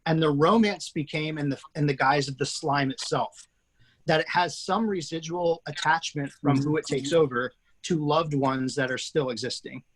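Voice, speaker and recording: A man's voice: a little high, moderate pace, slightly rough, poor audio. A man, the writer and host of an online table read, talking casually with many fillers and restarts over a video call.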